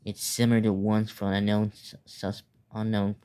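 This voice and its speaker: A young man narrating a mock emergency announcement in a halting way, stumbling and repeating words. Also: on the low side, level tone, warm tone, smooth. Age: teenager or young adult.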